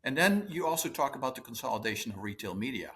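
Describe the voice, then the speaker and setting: A man's voice: medium pitch, very animated, quick pace, a bit bright, a little hiss or hum. A man with a Dutch accent hosting an interview, asking friendly, leading questions. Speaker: interview host. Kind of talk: conversational interview.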